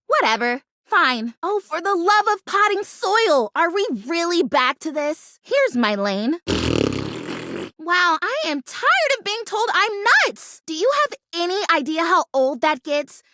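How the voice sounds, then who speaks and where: A fretful but hopeful fake houseplant in a video game. The voice is extremely high and swings animatedly, chattering earnestly in a dry, close recording. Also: female voice, very animated, slow, sharp tone, dry recording, heavily acted. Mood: exasperated. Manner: chatty, earnest, quirky, with mild exclamations. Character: anxious, hopeful artificial houseplant longing to be real.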